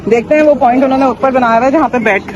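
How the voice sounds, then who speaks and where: A woman's voice: sits high, rapid-fire, highs cut off, background noise. A woman travel vlogger explaining religious sites in an earnest, flowing way. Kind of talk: casual travel vlog chat and voice-over.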